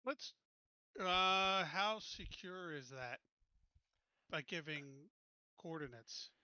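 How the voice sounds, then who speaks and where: A man's voice: fairly high pitch, expressive, very slow, pushed and bright, clean tone, dry recording, highs cut off. A man chatting casually as a player in a tabletop roleplaying game, talking through his choices.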